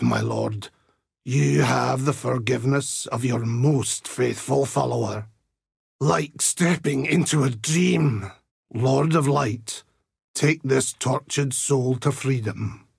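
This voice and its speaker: A man voicing a devout, grim, righteous old templar in a video game, solemn and preacherly, with a gravelly, husky voice. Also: mid-range voice, no echo, studio quality, exaggerated voice. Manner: solemn, pious, archaic preacherly phrasing. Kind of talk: dramatic video game character voice lines.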